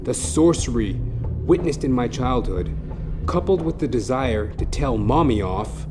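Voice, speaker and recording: A man's voice: medium pitch, animated, a little slow. Theatrical male storyteller over prominent music. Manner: theatrical, wordy, mock-confessional storytelling. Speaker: podcast host and comedian. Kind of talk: scripted comic monologue, audio play narration. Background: music behind the voice.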